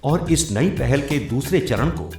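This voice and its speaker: A male narrator delivers formal documentary script with an animated, varied melody. His voice sits in an echoey space with music underneath. The delivery is that of an official training film. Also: mid-range voice, a little slow, vocal fry. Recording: roomy echo, music behind. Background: background music.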